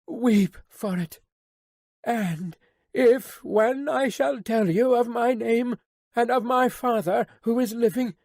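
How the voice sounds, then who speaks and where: A woman's voice: fairly high pitch, darkish tone, no echo, clean studio sound, pitched above the performer's own voice. A woman reading a young daughter who pleads tenderly. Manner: earnest, imploring, repeating refrains. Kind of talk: audiobook character reading.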